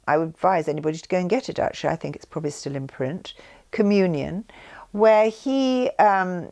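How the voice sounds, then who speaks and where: A woman with a fairly low, gravelly voice talks informally, explaining her views through anecdotes. Her pitch moves around in a very lively way. She rambles, often dropping a sentence halfway and starting it again. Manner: rambling, often breaking off and rephrasing mid-sentence. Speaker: speaker sharing personal beliefs.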